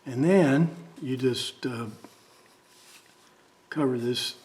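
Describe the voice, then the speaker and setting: A man talking casually and haltingly, thinking aloud as he demonstrates a drawing. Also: mid-range voice, a little mellow. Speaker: amateur artist demonstrating a drawing. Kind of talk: casual talk while drawing.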